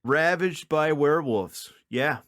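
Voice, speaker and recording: A man co-hosting a comedy podcast, reading and chatting in a halting, crude, jokey way. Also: fairly high pitch, a bit bright. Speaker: podcast co-host. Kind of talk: comedic story reading and casual chat.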